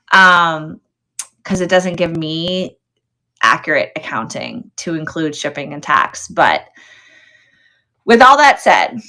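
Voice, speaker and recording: A woman hosts a casual live shopping stream in a slightly low voice with a bright, crisp edge. She talks directly to her viewers in a chatty way, responding to comments and working things out aloud. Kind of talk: casual livestream chat with viewers.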